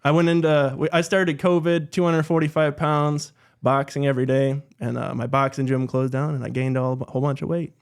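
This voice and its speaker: A man guest on a podcast in a studio, telling his story in a relaxed, matter-of-fact way. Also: mid-range voice, brisk, hardly any pauses, clean tone. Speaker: guest, entrepreneur. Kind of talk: casual interview.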